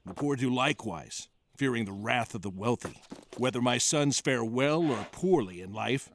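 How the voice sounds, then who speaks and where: An actor playing a proud, principled self-made colonial businessman in an animated series, measured and dignified. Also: mid-range voice, background noise, close to an everyday voice. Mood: proud, firm.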